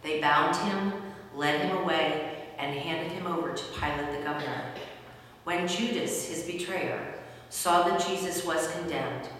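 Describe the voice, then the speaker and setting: A woman's voice carries from a distance through a very echoey hall. She narrates scripture formally, with a lowish pitch, as the reader of a dramatic story. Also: measured pace, fairly bright tone. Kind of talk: scripted dramatic Bible reading.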